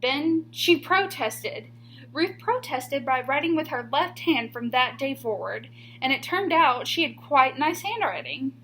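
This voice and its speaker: A woman teacher reading a children's picture book aloud expressively, with deliberate, emphatic pauses on key words. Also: high voice, expressive, a bit bright, a little hiss or hum, rough recording.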